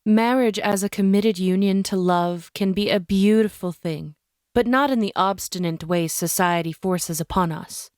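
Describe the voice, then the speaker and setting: A woman playing a formal, protective witch who leads a coven in an audio drama, speaking with measured authority. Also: medium pitch, darkish tone, dry recording, clean studio sound, close to an everyday voice. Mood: solemn instruction. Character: stern, formal female coven leader, protective and wise.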